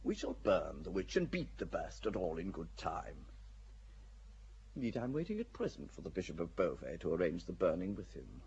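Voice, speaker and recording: An actor playing a cool, cynical English nobleman in a radio drama, speaking in a languid, wry, unhurried way. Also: low-pitched, wildly swooping pitch, vocal fry, a little mellow, lo-fi sound, a little hiss or hum, full character voice. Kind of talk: classic radio drama acting.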